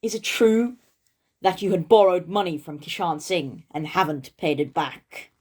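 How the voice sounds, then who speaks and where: A woman's voice: fairly low pitch, animated, a little slow, warm tone, slight background noise. A woman voicing a stern king who passes judgment in a children's folk tale. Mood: questioning. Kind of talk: children's storybook reading.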